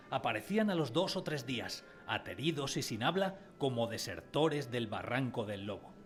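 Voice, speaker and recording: A man's voice: medium pitch, a bit bright. A man playing a wistful narrator who recalls his village boyhood in a scripted radio drama. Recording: music under the voice.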